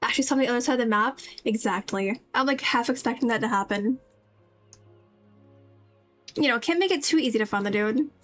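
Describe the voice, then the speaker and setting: A woman's voice: on the high side, a little fast, pauses often, fairly bright tone. A woman livestreamer giving casual, rambling game commentary as she chats to her viewers. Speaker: livestreamer playing a video game. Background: game music.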